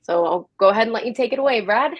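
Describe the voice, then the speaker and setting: A woman's voice: fairly high pitch, racing, no room sound, lo-fi sound. A woman hosting a history talk, enthusiastic and appreciative as she links the speakers. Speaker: host of an online history talk. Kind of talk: semi-scripted event hosting.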